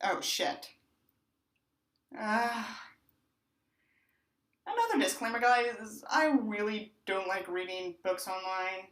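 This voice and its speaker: A woman's voice: mid-range voice, lively intonation, slow pace, pauses often, a bit bright. Woman reading aloud in an echoey room. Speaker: audiobook reader and commentator. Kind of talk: expressive book narration with mocking asides.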